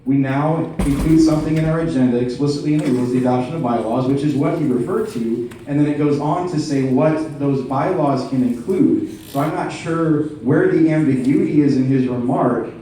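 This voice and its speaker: A man's voice: medium pitch, a little fast, few pauses, dark tone, a little hiss or hum. A man speaking as a delegate in a formal procedural debate, putting precise, methodical and insistent questions to the chair. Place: large indoor meeting room. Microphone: floor microphone. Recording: roomy echoing hall, distant mic.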